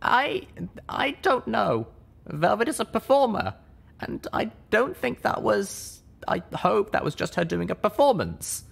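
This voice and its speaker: A man voicing an earnest, anxious young female unicorn pony who speaks in halting, hesitant bursts. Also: sits high, sing-song, creaky, slightly rough, voice pushed up, exaggerated voice. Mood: worried.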